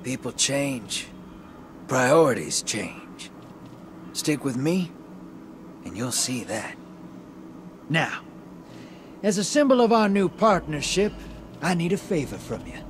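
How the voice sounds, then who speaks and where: An actor voicing a shady, streetwise rogue and survivor in a video game, with slangy, conspiratorial swagger. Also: male voice, on the high side, big pitch swings, slow, pauses often, slight background noise. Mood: sly, persuasive. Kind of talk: video game character dialogue.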